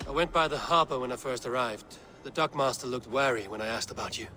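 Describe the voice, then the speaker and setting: A man playing a steady, blunt Norse warrior in a video game, speaking in terse, deliberate phrases. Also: medium pitch, faint music behind, lightly acted. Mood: purposeful. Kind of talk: dramatic video game dialogue.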